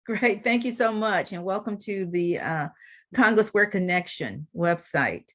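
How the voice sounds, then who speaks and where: Woman interviewer at a medium pitch, polite and welcoming. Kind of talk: interview questions.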